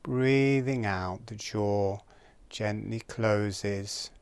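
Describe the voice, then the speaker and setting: A man giving guided breathing and relaxation instruction in a calm, gentle manner, in short spaced phrases. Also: on the low side, very slow, clear, resonant tone, no echo.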